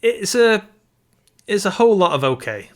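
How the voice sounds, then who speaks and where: A man's voice: high voice, sing-song, normal speed. A man co-hosting a casual film discussion, giving his opinions and repeating points for emphasis. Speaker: podcast co-host.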